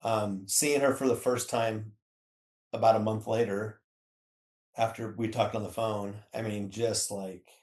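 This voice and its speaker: A man's voice: lowish voice, fairly even intonation, unhurried, a little mellow. A middle-aged man chatting casually as a podcast guest, in informal, broken phrases. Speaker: podcast guest, husband of the other guest. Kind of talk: casual chat. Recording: roomy, echoing room sound.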